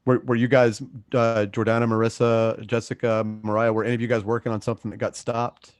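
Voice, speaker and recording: A man in his fifties talks quickly and casually, steering a group chat with questions. His voice sits at a medium pitch. The sound is dry, with little room in it. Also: flat delivery, no echo. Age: 50s. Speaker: actor leading the chat. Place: at home on a group video call.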